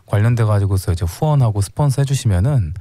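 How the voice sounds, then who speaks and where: A man's voice: on the low side, animated, fast, warm tone, dry recording. A man talking casually on a radio show, explaining things in a conversational way.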